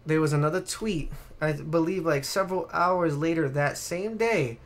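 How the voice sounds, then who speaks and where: A man's voice: on the high side, normal speed. A man co-hosting a gaming chat, telling anecdotes in an informal, slangy, sweary way. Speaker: podcast co-host.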